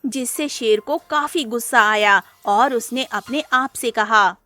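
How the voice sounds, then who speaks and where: A woman's voice: fairly high pitch, slow pace, sharp tone, no echo. A woman narrating a scripted moral story as a storyteller.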